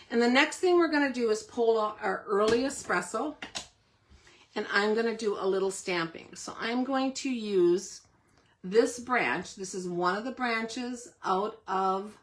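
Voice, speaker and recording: A woman with a slightly hoarse voice who keeps clearing her throat, giving a chatty step-by-step live card-making demonstration. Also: medium pitch, a bit bright. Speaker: craft demonstrator.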